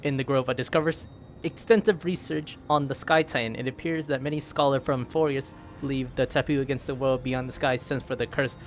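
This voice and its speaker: A man's voice: medium pitch, fairly even intonation, a little fast, natural voice. A man voicing an earnest, cautious young traveler, reading formal video game dialogue aloud with careful delivery. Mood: thoughtful. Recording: slightly dull, faint background noise.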